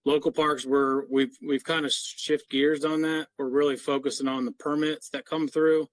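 A man's voice: fairly high pitch, flat delivery, moderate pace. A middle-aged man giving an explanatory, businesslike slide presentation as a parks maintenance official on a video call. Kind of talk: slide presentation to a board meeting.

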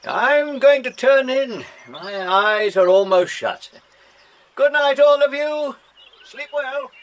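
An actor playing a kindly middle-aged English teacher in a children's audio drama, speaking in a genteel, avuncular way. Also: male voice, very high voice, expressive, takes it slowly, dull audio, heavily acted. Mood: relaxed, kindly. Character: kindly absent-minded middle-aged man, teacher leading children camping.

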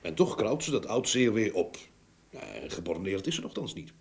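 An actor playing a patient, probing detective in a Dutch radio drama, with a hesitant, questioning manner. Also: male voice, on the low side, big pitch swings, normal speed, creaky, a little mellow, slightly rough, heavily acted. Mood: pensive. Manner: hesitant, questioning, with murmured hums. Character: probing, pensive male detective, patient and methodical.

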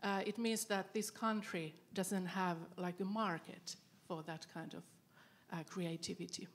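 A woman, speaking thoughtfully as a panelist into a handheld mic, with frequent filler pauses. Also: medium pitch, steady tone. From Finland. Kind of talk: panel discussion. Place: event stage with panel tables, indoors.

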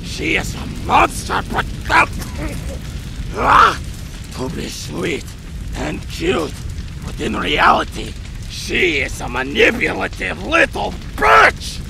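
Game mod villain: furious monstrous ex, high strained gravelly shouting, menacing, over music. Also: male voice, huge pitch swings, heavily acted, rough recording. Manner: seething, menacing, halting. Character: vengeful, furious horned monstrous ex-boyfriend villain, coughing amid smoke. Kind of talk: video game mod voice acting.

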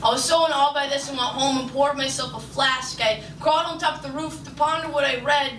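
A woman's voice: high-pitched, steady tone, fairly quick, a bit bright. A young woman in her twenties performing spoken word poetry on a small club stage with rhythmic, emphatic delivery. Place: small club stage under red lights. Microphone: stage mic on stand. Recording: roomy live sound from a distance, noisy.

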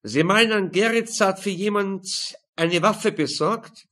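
Man performing an police boss, impatient, demanding, higher-pitched delivery, crime audiobook dialogue. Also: a little slow, fairly bright tone. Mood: skeptical. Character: impatient, exasperated male police department chief.

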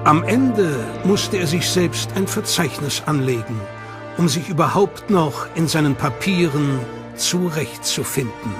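A man's voice: on the high side, lively intonation, a little slow. Man narrating a documentary over music. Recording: voice-over with loud music behind.

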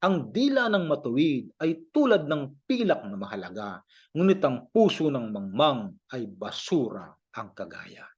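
A man with a Filipino accent reading scripture aloud in a measured, declamatory recitation, verse by verse. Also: medium pitch, big pitch swings, darkish tone, slightly rough. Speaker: Bible reader. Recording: dull lo-fi home recording.

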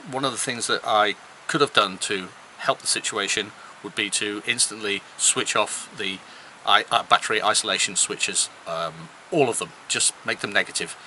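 A man's voice: fairly low pitch, sharp tone, slight background noise, poor audio. A man in his fifties explaining things casually to camera as a sailing vlog host. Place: outdoors at a marina and aboard a sailboat.

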